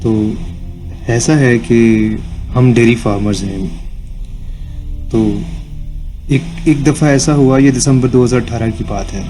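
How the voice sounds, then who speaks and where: A man from Punjab with a Pakistani accent, casually telling a first-person story in halting phrases as a radio listener. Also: mid-range voice, dark tone, low-quality recording. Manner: halting phrases, switches into English. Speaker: listener sending an audio story. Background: background music.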